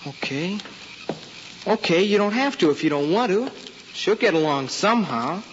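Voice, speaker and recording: An earnest American schoolboy in a vintage radio play. His voice is fairly high, polite and coaxing. The dull recording has a long reverberant tail. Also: animated, moderate pace. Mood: tentative, coaxing. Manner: tentative, coaxing, respectful. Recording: dull hall-like vintage recording, faint noise.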